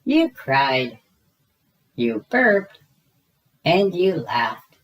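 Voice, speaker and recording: Lady putting on a kind mummy pig voice, soft and patient, storybook. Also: low voice, sing-song, drawn-out words, pitched above the performer's own voice, exaggerated voice, poor audio. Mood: fond. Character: gentle patient mother pig.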